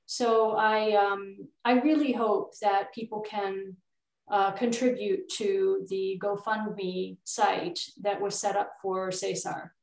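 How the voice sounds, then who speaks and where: A woman's voice: medium pitch, steady tone, slow, gravelly, darkish tone. A middle-aged woman with an American accent, speaking earnestly and emphatically as an attorney on a video-call panel. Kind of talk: panel discussion remarks. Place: indoor room, on a video call. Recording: echoey, distant video-call sound.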